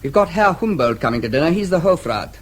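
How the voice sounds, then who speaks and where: An actor playing a brisk, dry-humoured English diplomat and protective brother in a radio drama, with an English accent. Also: male voice, fairly high pitch, very animated, husky. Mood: brisk, affable. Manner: brisk, explanatory, dryly teasing. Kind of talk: BBC radio drama acting.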